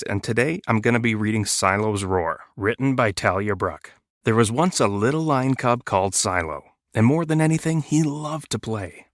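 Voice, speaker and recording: A man reads a children's story as a guest storyteller. His voice sits at a middling pitch, recorded dry with no room around it. The delivery is that of storybook narration. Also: a bit bright, no room sound.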